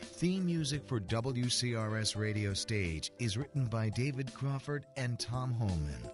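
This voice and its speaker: A man's voice: mid-range voice, very animated, measured pace, music in the background. A man reading scripted credits as a radio drama announcer.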